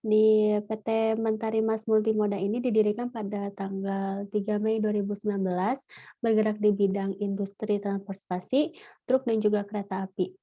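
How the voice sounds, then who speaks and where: Flat-toned young woman, lo-fi audio. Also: mid-range voice, normal speed. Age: young adult. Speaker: student presenter. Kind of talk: class presentation. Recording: dull lo-fi audio.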